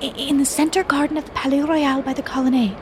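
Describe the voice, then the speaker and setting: Woman playing an anxious, high-voiced young widow. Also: fairly even intonation, quick pace, noisy background, natural voice. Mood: frightened, accusing. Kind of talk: radio drama acting.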